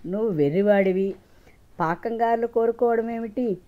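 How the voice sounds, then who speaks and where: A woman's voice: fairly high pitch, moderate pace, narrow, tinny audio, natural voice. A woman storyteller voicing an angry, exasperated wife who scolds her husband in a children's tale. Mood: scolding.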